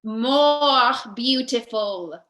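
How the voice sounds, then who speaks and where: A woman's voice: on the high side, lively intonation, drawn-out words, a bit bright, exaggerated voice. A woman playing a vain, flirtatious, theatrical entertainer in a comic radio play, dreamy and flamboyant.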